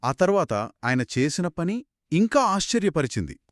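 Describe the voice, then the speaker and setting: A man's voice: high voice, big pitch swings, moderate pace, dry recording, clean studio sound. A man co-hosting a conversational story discussion in an analytical, explanatory way. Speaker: podcast co-host.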